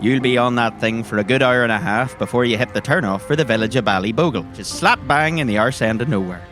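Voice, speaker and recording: A man's voice: medium pitch, fast talker, music in the background. A man with an Irish accent, giving wry scripted narration as a game master.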